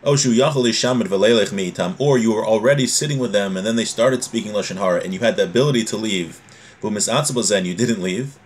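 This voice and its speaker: A man's voice: on the low side, quick pace, a little hiss or hum. Man explaining a religious text. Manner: explanatory, repeats and rephrases. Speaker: religious teacher. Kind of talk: informal religious lesson, reading a text aloud and translating. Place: small home room, indoors.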